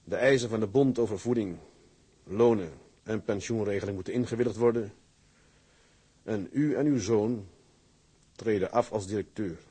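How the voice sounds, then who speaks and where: A resolute captain of seagoing tugs in a Dutch radio drama. His voice sits fairly low with a rough, husky edge. He speaks proudly and forcefully, weighing his phrases like a hardened seaman. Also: normal speed, pauses often. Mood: determined, bitter. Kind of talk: Dutch radio play acting.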